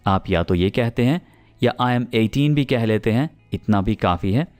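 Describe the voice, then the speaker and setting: A man with a Pakistani accent teaching spoken English, explaining patiently and repeating example sentences slowly. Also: medium pitch, animated, darkish tone, soft background music.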